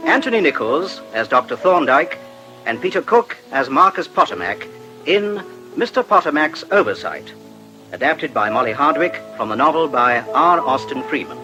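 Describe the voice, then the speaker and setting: A man's voice: fairly high pitch, big pitch swings, moderate pace, music in the background, low-quality recording. A man reading a scripted introduction as a radio drama announcer.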